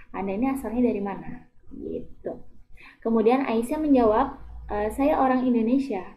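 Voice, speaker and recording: A woman language teacher patiently explaining Turkish phrases, with frequent hesitations and repetitions. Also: mid-range voice. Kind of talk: explaining a Turkish lesson in Indonesian. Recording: tinny phone-like audio.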